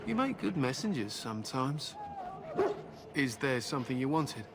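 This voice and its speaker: An actor playing a brusque, impatient soldier in a video game, curt and commanding. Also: male voice, medium pitch, very animated, moderate pace, heavy background noise. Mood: dismissive. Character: brusque, impatient male soldier, scornful of elves. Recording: loud ambience with barking dogs behind.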